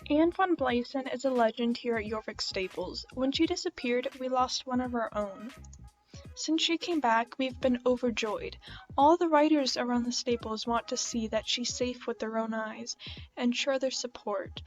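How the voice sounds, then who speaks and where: Female player voicing a loyal, concerned stable hand, earnest game dialogue, higher pitch, faint music. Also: normal speed, highs cut off, natural voice. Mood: worried, admiring.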